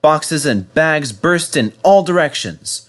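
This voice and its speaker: A man reading a children's story aloud in an animated, scripted narration style. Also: a little high, takes it slowly. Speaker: storybook reader.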